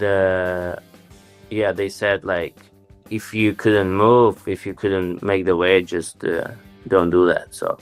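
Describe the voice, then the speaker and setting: A young man, a native Armenian speaker, answering interview questions in halting English full of fillers like "uh" and "like". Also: on the low side, a bit bright, smooth. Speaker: interviewed fighter.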